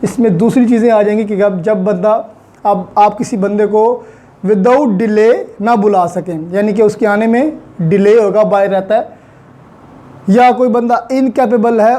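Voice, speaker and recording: A man with a Pakistani Urdu accent giving a recorded law lecture, spelling out definitions slowly and deliberately. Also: high-pitched, warm tone.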